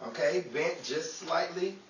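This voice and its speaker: A man's voice: fairly high pitch, unhurried. A man playing a cheeky, scheming 1930s New York hustler with slick, jokey patter, in screwball radio comedy style. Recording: echoey, distant, noisy live room with crowd.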